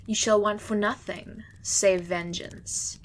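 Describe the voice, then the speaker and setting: A woman's voice: medium pitch, steady tone, slow, lightly acted, rough recording. A woman playing a wry, grand female judge of the dead who pronounces sentences in a stately, theatrical way. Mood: imperious. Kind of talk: audio drama acting.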